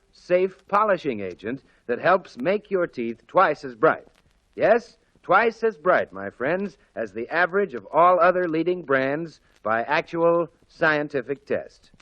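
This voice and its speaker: An American man announcing on 1940s radio in an emphatic, folksy, salesmanlike scripted style. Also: fairly high pitch. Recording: muffled old 1940s radio broadcast.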